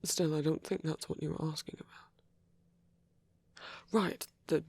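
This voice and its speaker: A man playing a gentle, shy vampire priest in an intimate ASMR roleplay, speaking in a husky half-whisper with a hesitant, apologetic manner. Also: a little high, steady tone, no room sound. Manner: hesitant, halting, apologetic, rambling.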